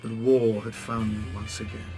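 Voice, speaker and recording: A man's voice: lowish voice, flat delivery, moderate pace, over music, close to an everyday voice. A man playing a weary, bitter war veteran. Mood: somber. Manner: grave, reflective narration, heated when arguing. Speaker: narrator and main character. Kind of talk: game cutscene narration and dialogue.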